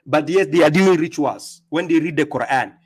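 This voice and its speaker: Male streamer with a raised pitch. Combative, sneering, emphatic rebuttal. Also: normal speed, a little mellow, breathy edge. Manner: mocking, taunting, laughs at opponents; emphatic, repeats words when he stumbles. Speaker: livestream host. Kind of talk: combative religious livestream rebuttal. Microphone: streaming setup.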